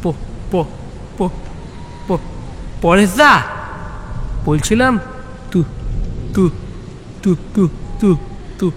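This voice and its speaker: An excitable, pleading sidekick from a comic audio story, acted out by a man. He stutters heavily, sticking on syllables in a high voice. The sound rings with long reverb over some background noise. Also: drawn-out words, pauses often, full character voice. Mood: nervous, excited. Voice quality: heavy stutter. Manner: gets stuck repeating syllables. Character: stammering, excitable young sidekick pleading to be heard.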